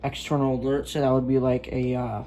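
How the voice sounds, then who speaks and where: A man's voice: medium pitch, flat delivery, a little fast, dark tone. Male gadget reviewer, casual and rambling, unscripted, with some background noise on a homemade recording.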